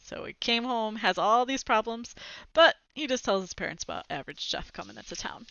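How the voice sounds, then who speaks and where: A young woman reading a storybook aloud into a headset mic, expressive, with brief explanatory asides. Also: a little high, brisk, gravelly, dry recording. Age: young adult. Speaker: read-aloud presenter. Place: small room at home, indoors. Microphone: headset boom mic. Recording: slightly dull, lo-fi headset sound.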